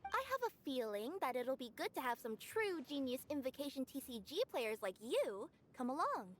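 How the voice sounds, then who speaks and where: A woman's voice: high voice, very animated, moderate pace, slight background noise. A woman playing a friendly, conscientious young female courier in a video game, with an upbeat conversational manner.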